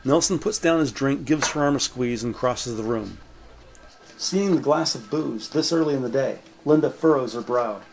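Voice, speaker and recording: A man narrating a crime audio drama from a script, measured and descriptive. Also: medium pitch, lively intonation, gravelly, rough recording. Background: music.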